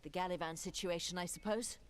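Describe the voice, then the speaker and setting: A woman playing a sharp-witted, skeptical adventurer in a fantasy video game, dry and observant. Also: lowish voice, fairly even intonation, brisk, close to an everyday voice. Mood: wry.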